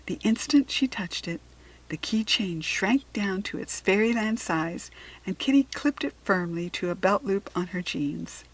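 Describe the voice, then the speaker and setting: A woman with an American accent narrating a children's storybook in an expressive, careful read-aloud manner. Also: mid-range voice, unhurried, low-quality recording.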